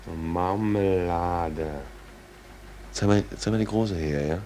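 Very low, laconic seaman. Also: takes it slowly, mellow. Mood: curious. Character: laconic, wry merchant seaman, the shipmate. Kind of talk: 1940s German radio play acting. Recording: noisy 1940s radio drama recording.

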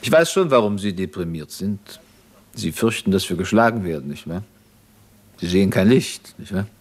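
Low-voiced, zealous revolutionary commander. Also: male voice, animated, moderate pace. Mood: confident. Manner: didactic, confident, needling. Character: zealous, self-assured male revolutionary commander, lecturing and doctrinaire. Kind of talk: German radio play acting.

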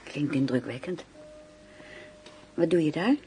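An actress playing a flirtatious, inquisitive lover, coaxing and playfully naive in an intimate radio play. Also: female voice, low voice, measured pace, dark tone. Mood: curious, coaxing. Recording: faint background noise.

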